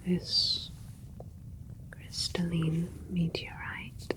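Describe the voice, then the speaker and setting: A woman playing a gentle alien scientist doctor in a whispered ASMR sci-fi roleplay, speaking soothingly in a breathy voice with unhurried, halting phrases. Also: lowish voice, rough recording. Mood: soothing, clinical.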